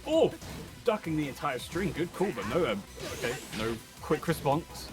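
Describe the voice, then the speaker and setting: A man with a deep, low cadence doing excitable, jokey live commentary on a fighting-game tournament. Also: moderate pace, music in the background. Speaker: esports commentator.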